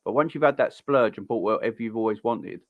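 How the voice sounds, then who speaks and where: A young man co-hosting a football watch-along, chatty and quick to laugh while telling stories. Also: medium pitch, dry recording, phone-quality sound. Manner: chatty storyteller, laughs easily. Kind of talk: casual banter.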